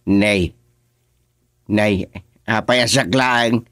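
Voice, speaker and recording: An actor with an Ilocano accent playing a relaxed, approving village man in a radio drama, musing and humming as he talks. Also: fairly low pitch, extremely slow, no room sound, clean studio sound, heavily acted. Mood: teasing. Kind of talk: radio serial drama acting.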